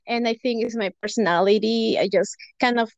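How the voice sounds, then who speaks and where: A woman's voice: on the high side, brisk, no echo, muffled recording. Bubbly Peruvian woman with a Spanish accent. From Peru. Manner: giggly, effusive, laughs often between phrases. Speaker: guest. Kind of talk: casual podcast conversation.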